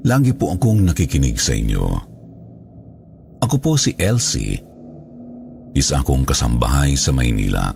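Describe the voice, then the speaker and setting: A man with an extremely low voice full of gravelly fry narrates a scripted horror story. His pitch moves in lively swings from phrase to phrase. Music plays behind him. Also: normal speed, pauses often. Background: background music.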